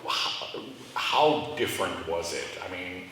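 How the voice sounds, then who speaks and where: A man with a low voice interviews a guest in front of an audience, asking halting questions filled with fillers. He sounds far from the mic in a big, reverberant hall, and a clear background noise runs under his voice. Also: very animated, sharp tone. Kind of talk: live onstage interview before an audience. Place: large room with an audience.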